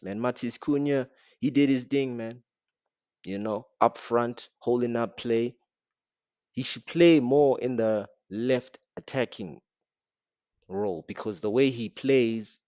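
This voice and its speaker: A man with an African accent, his voice sitting on the low side, rants casually about a match in a blunt, slangy and mocking way. The recording sounds dull and lo-fi, with a dry, close feel. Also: no room sound. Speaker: football fan reviewing a match. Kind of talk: casual rant to camera.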